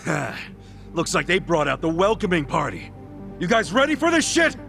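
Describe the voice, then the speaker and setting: Cocky rowdy brawler, high gravelly voice with swooping pitch, game cutscene. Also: male voice, big pitch swings, moderate pace, a bit bright, soft background music, full character voice. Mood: fired up. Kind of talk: video game cutscene acting.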